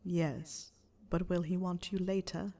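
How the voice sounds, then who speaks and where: A woman voicing a scolding inner voice of doubt in an audiobook, hushed and needling. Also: lowish voice, steady tone, slow pace.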